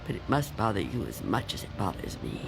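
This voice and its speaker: A woman's voice: very deep voice, big pitch swings, brisk, vocal fry, over music, exaggerated voice. An actress playing a frightened, reclusive old woman afraid of death in a radio drama, tremulous and pleading. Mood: fearful.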